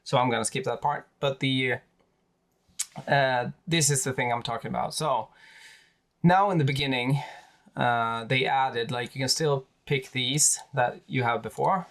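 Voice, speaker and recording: A man with a Swedish accent, a native Swedish speaker, talking casually through tabletop army lists with many pauses and fillers. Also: medium pitch, a bit bright. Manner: hesitant, many fillers and restarts. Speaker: tabletop wargaming creator.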